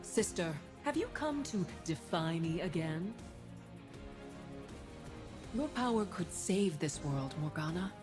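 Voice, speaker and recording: A woman's voice: fairly low pitch, lively intonation, takes it slowly, pauses often, over music. A woman voicing a proud, zealous winged warrior sister in a video game, imperious, solemn and cutting. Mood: scornful. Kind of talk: theatrical video game voice acting.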